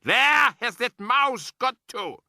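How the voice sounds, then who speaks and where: A towering, shrieking witch queen, put on by a man reading aloud, her voice jumping very high and swooping wildly as she stretches every word. She barks orders at her crowd with cold command. The voice sounds close and dry. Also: big pitch swings, slow, pushed and bright, no echo, exaggerated voice. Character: shrill imperious old female witch queen.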